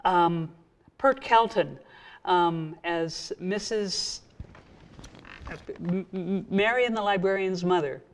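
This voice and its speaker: A woman hosting a community television interview, pausing often and searching for her words. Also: lowish voice. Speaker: host.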